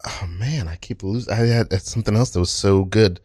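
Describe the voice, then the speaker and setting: A man hosting a casual comedy panel chat, talking in a halting, excitable way and often repeating himself. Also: fairly low pitch, husky, no room sound, studio quality. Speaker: improv podcast host.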